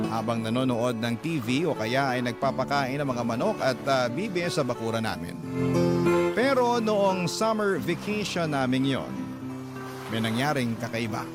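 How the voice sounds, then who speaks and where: Man, radio host, scripted story narration, medium pitch, loud guitar backing. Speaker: radio host reading a listener's letter. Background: prominent acoustic guitar music.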